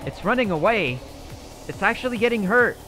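A man voicing his character in a survival game roleplay, excitably thinking aloud to himself. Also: high voice, a little fast, faint music behind, rough recording.

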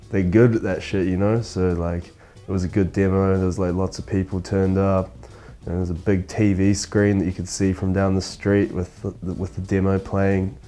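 A man's voice: deep voice, dark tone. A young man from New Zealand talking in a laid-back way, dropping lots of likes and you-knows, on a lapel mic. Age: young adult. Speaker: pro skateboarder. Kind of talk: casual interview answers.

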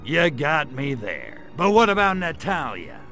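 A man voicing a sarcastic gray alien invader in a video game cutscene, delivering deadpan quips. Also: on the high side, a little slow, soft background music, full character voice. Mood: sardonic.

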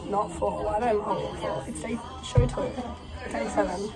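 A woman's voice: medium pitch, steady tone, a little slow. A young woman chatting casually as she vlogs behind the scenes on a handheld phone. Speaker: vlogger and stage performer. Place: backstage rooms and theatre. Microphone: handheld phone at arm's length. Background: loud music and crowd chatter.

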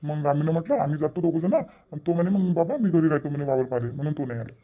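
A man's voice: fairly high pitch, fast talker, phone-quality sound, natural voice. A man voicing a plain, hardworking farmer grandfather in a cartoon, with a Vidarbha Marathi accent. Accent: Vidarbha Marathi (Varhadi). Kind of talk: cartoon family drama dialogue.

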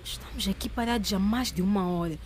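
Uma atriz a fazer uma jovem impaciente e cansada. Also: female voice, mid-range voice, fast talker, a little hiss or hum, close to an everyday voice. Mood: weary. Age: young adult. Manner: weary, complaining. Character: impatient young woman on a stakeout.

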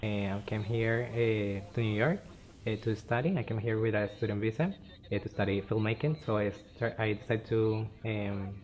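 A man's voice: fairly low pitch, steady tone, moderate pace, a little mellow. A man from Bogota speaking English with a Colombian Spanish accent in an interview, hesitant with frequent "eh". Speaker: film student.

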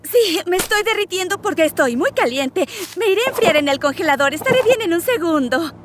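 A woman's voice: extremely high pitch, lively intonation, a little fast, noisy background. A woman voicing a friendly, playful female ice pop in a children's educational cartoon dub. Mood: cheerful, welcoming. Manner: welcoming, giggly, playful. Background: faint music.

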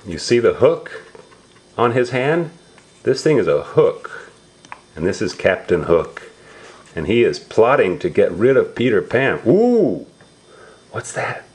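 Low-pitched man reading slowly to small children. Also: very animated, darkish tone. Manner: simple, repetitive, drawn-out words with exclamations. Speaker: storybook reader for young relatives. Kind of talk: read-aloud storytelling to young children, explaining pictures and words.

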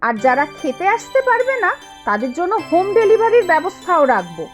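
A woman's voice: very high-pitched, big pitch swings, fairly quick, soft background music. A woman voicing a supportive, confident young village wife in a cartoon, with a sweet, reassuring manner. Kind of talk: Bengali cartoon dubbing.

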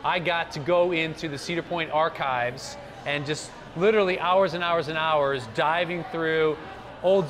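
A man, hosting a promotional interview in his restaurant, enthusiastic and chatty. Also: a little high. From Cleveland, Ohio. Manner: enthusiastic, chatty, many fillers like "like". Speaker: restaurant owner hosting the chat. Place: inside a decorated restaurant.

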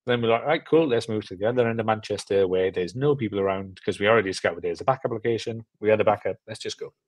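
A man's voice: on the low side, fast, dry recording. A young man talking casually and informally as an interview guest, in an easygoing way. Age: young adult. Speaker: film director, interview guest. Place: indoor room at home, on a video call.

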